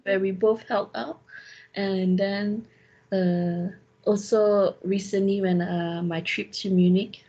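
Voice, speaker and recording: A woman's voice: medium pitch, steady tone, slow pace, mellow, dull audio. A young adult woman from Malaysia with a Malaysian accent, co-hosting a podcast in casual chat. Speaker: podcast co-host.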